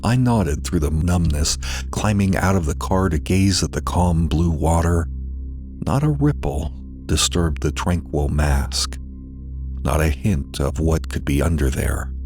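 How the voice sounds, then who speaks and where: Very deep-voiced man narrating horror over music. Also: darkish tone. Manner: slow, deliberate, dramatic delivery with pauses. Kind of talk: dramatized scripted horror narration.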